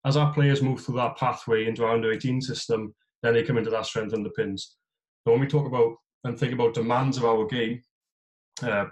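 A man's voice: medium pitch, poor audio. A man in his thirties with a South Welsh accent, presenting on a video call from a small room, talking loosely with many fillers and restarts. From South Wales. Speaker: head of physical performance, guest speaker. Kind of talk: webinar presentation. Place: small room at home, indoors. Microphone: video call on a laptop.

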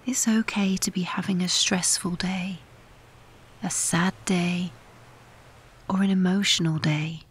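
A woman guiding a scripted meditation in a soft, breathy near-whisper, calm, soothing and unhurried with long pauses. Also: lowish voice. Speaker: meditation guide. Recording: steady background hiss.

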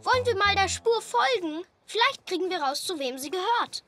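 A woman voicing an excited, curious little boy zebra in a children's cartoon, eager and exclamatory. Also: very high-pitched, normal speed, over music.

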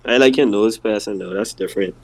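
A man's voice: on the low side, fast, gravelly. A teenage boy making awkward, hesitant small talk as a contestant on a video call. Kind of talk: casual chat on a group call.

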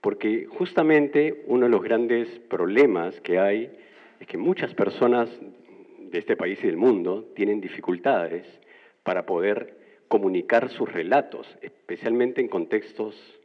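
A lower-voiced Peruvian man with a Peruvian accent stands in the audience and speaks into a handheld mic. He builds a long, formal question in careful academic terms. The sound is dull and boomy, with a long echo in the hall. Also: unhurried. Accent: Peruvian Spanish. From Peru. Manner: articulate, academic, long-winded. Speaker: audience member asking a question. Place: university classroom. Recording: muffled, roomy lecture hall.

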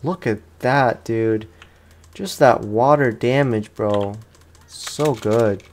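A man with a medium-pitched voice commentates over his gameplay in a casual let's play style. He talks informally with plenty of gamer slang, often repeating his own phrases. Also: slow, darkish tone. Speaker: gaming streamer.

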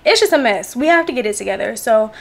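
Young american girl vlogger, kinda high voice, slangy casual chat like talking to her besties. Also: lively intonation, quick pace, creaky. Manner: slangy, familiar, addresses viewers as besties and girl. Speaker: lifestyle vlogger. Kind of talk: casual chatty vlog talk to viewers.

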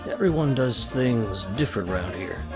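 Game narrator: folksy, wry and theatrical, very low voice with lively delivery. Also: male voice, normal speed, over music. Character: folksy, wry male storyteller of a comic game. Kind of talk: playful scripted storybook-like narration.

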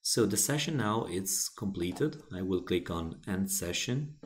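Man, Italian native, unhurried and fairly low, plain step-by-step tutorial narration with restarts. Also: expressive. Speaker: software tutorial presenter. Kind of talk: screen-recorded software walkthrough.

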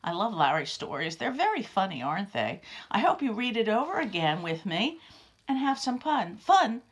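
A woman's voice: mid-range voice, sing-song, moderate pace, breathy edge. A middle-aged woman reading a picture book aloud to young children, theatrical and full of questions to them. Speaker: nursery school teacher reading aloud. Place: small room at home or office, indoors.

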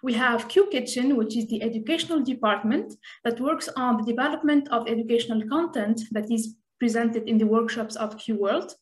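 Tunisian accent woman doing an online presentation, highish voice, echoey room, bit of umming. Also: brisk, few pauses. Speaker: presenter, community representative. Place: indoors on a video call. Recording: roomy video call sound.

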